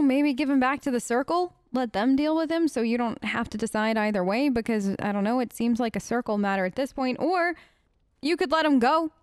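Clear-voiced woman role-playing casually. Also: fairly high pitch, no room sound, studio quality. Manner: informal, tentative, thinking aloud. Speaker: gamer role-playing her character. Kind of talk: casual improvised role-play commentary. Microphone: close microphone, voice-over on gameplay.

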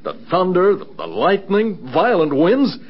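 A man's voice: sits high, wildly swooping pitch, a little slow, exaggerated voice. A man playing an earnest control tower officer in a radio drama. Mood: earnest, awed. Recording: dull, noisy vintage radio recording.

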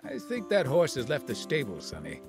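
Old demon sidekick in a game, dry n wry, animated voice, music playing behind. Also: male voice, mid-range voice, normal speed, gravelly. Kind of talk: video game voice acting.

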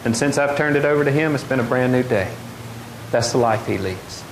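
A middle-aged man preaching a lively sermon, often asking the congregation questions. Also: mid-range voice, a little fast. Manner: animated, gestures widely, asks the congregation questions. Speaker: pastor. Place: large wooden open-air church hall. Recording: echoey hall, faint noise.

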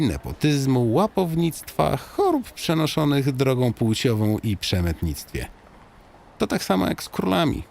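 A man's voice: mid-range voice, vocal fry, a little mellow, slight background noise. A man narrating a comic audiobook as a guide addressing the listener, in a tongue-in-cheek, mock-solemn storytelling manner.

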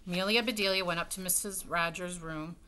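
A woman's voice: on the low side, steady tone, fairly quick. A middle-aged woman reading a children's storybook aloud at home, with casual asides to her listeners. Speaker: story time reader. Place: room at home by a window. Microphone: webcam at desk distance. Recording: webcam sound with faint noise, clicks.

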